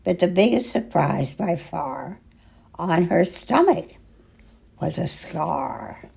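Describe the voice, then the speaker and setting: Gravelly woman reading a storybook slowly. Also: fairly low pitch, lively intonation, a little mellow. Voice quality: husky, gravelly. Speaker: storyteller reading a picture book aloud. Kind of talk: children's storybook read-aloud, slow and expressive.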